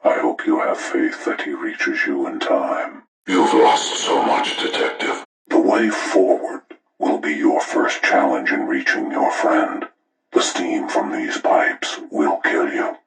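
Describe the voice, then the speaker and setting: An actor playing a menacing older serial killer mastermind in a horror game, speaking slowly and deliberately in a gravelly, breathy rasp through a distorted tape-like filter. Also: male voice, very deep voice, fairly bright tone, exaggerated voice. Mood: ominous, then menacing, instructing. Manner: slow, deliberate, ominous, taunting. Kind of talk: scripted villain monologue for a horror game. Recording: roomy, distant, radio-like playback.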